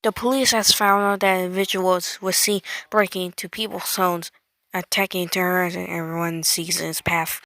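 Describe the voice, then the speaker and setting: A woman's voice: fairly low pitch, little pitch movement, normal speed, fairly bright tone, no echo. A woman reading scripted emergency broadcast announcements in a formal, stilted manner. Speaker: emergency alert announcer and news anchor.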